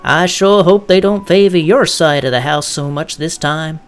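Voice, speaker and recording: A man's voice: a little high, normal speed, soft background music, pitched above the performer's own voice, close to an everyday voice. A man voicing a folksy old prospector who drawls as he talks to his mule in a narrated story. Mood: wistful, gently teasing.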